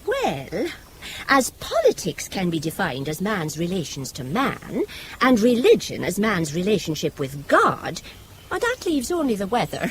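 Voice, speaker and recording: Sharp-tongued devout Irishwoman, lively delivery. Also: medium pitch, measured pace, slight background noise. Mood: dry, guarded. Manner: sharp-tongued, argumentative, righteous. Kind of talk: radio drama dialogue.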